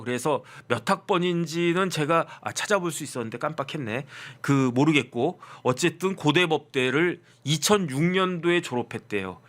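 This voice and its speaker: A man's voice: a little high, fairly bright tone. A man in his fifties, a lawyer hosting his own channel, giving casual political commentary full of rhetorical questions he answers himself and wry asides. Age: 50s.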